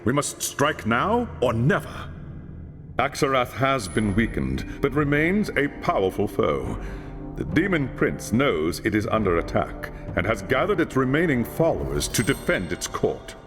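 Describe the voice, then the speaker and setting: A grave, commanding high lawmaster in a fantasy video game, his pitch swinging very widely as he gives orders. His voice rings in a long hall reverb over clear music. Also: very animated, a little slow. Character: solemn older male high lawmaster, commanding mentor.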